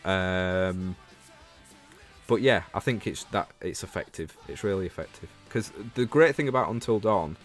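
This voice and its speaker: Male livestreamer, low voice, Northern English accent, informal game chat over faint background music. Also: animated, moderate pace. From Leeds, England. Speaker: livestreamer and show host. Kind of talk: casual livestream chat about games, replying to viewers.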